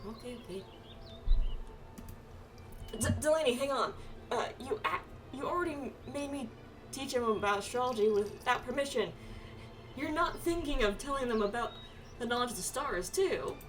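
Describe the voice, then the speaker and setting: A woman's voice: a little high, animated, moderate pace, long pauses, natural voice. A woman voicing a nervous, flustered young male astrologer in a game, hesitant and stammering. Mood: anxious, firm. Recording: distant mic, game music behind.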